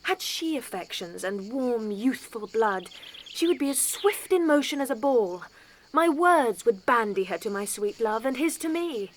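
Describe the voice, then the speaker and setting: Impetuous lovestruck girl, high and swooping. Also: animated, a little slow, slight background noise, rough recording. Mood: impatient musing. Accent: British English. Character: Juliet, lovestruck impatient teenage girl, eager and impetuous. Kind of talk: classical Shakespearean audio drama acting.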